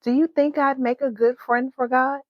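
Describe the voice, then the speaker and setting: Woman voicing an eager, high-pitched little girl. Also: normal speed, dry recording, lo-fi sound. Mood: worried, hopeful. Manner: wide-eyed, earnest, expressive. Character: Talia, innocent eager young girl longing for friendship. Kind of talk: children's storybook read-aloud.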